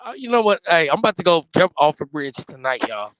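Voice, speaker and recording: A man in his forties co-hosting a podcast, slangy, joking and animated in casual chat. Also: fairly high pitch, fast talker, poor audio. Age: 40s. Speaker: podcast co-host.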